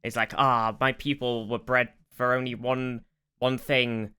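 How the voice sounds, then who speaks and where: Male podcast co-host, very smooth resonant voice with bright edge, enthusiastic explainer in casual chat. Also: mid-range voice, little pitch movement, measured pace. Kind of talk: casual fan discussion.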